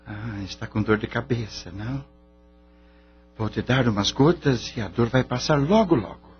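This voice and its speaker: A man's voice: mid-range voice, normal speed, lightly acted. An actor playing a caring young male veterinarian in a radio drama. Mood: soothing. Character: caring young veterinarian devoted to his dog. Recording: dull lo-fi radio drama with music.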